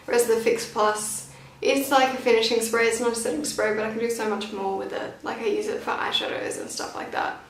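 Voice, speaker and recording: A woman's voice: fairly high pitch, little pitch movement, fairly quick, slight background noise. A woman in her twenties chatting casually and informally to camera as she reviews beauty products, with a self-deprecating manner. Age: 20s. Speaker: beauty vlogger. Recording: roomy echo, distant from the mic.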